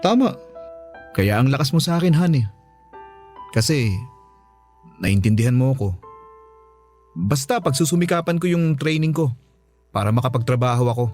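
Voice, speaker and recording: A man with a Filipino accent playing a sheepish young boyfriend in a radio drama, pleading haltingly. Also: mid-range voice, lively intonation, a little mellow, close to an everyday voice. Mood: tender, earnest. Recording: music bed behind the voice.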